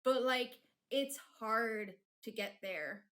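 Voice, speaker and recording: A woman whose first language is Polish chats loosely through a live stream. Her voice sits a bit high, and she keeps restarting her sentences and filling the gaps with ums. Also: slow pace, sharp tone. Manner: chatty, many fillers and restarts, laughs often. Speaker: streamer and fanfic writer. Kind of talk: casual live-stream chat.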